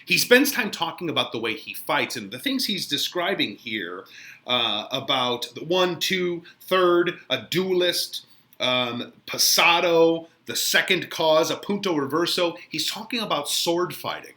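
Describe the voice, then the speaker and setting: A man's voice: on the high side, animated, unhurried, sharp tone. A man giving informal, conversational lesson commentary as a literature teacher, explaining with emphasis.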